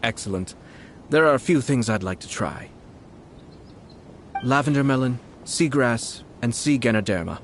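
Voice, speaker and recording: An actor playing a composed, polite young nobleman in a video game, shrewd and quietly mischievous, speaking in measured phrases. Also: mid-range voice, lively intonation, a little hiss or hum, close to an everyday voice. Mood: playful, composed. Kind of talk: video game character acting.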